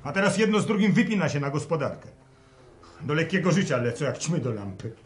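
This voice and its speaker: An actor playing a resentful farmer son in a radio play, grumbling and sarcastic in rural speech. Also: male voice, a little high, lively intonation, a little fast, lightly acted. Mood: angry, bitter. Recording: roomy, noisy radio recording.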